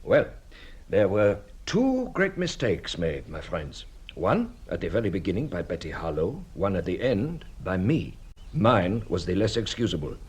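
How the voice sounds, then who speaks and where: An actor playing a shrewd, courteous police detective in a radio drama, a husky voice and a formal, measured, theatrical manner. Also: male voice, deep voice, big pitch swings, full character voice. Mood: explaining.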